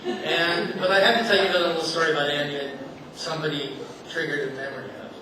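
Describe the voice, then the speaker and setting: A man with a slightly high voice tells a loose, self-mocking story from a stage and often laughs at his own jokes. He sounds far from the mic, in a hall that echoes heavily, with a steady hiss of noise behind him. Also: fast. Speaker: stage storyteller at a community event. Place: theater stage before a live audience.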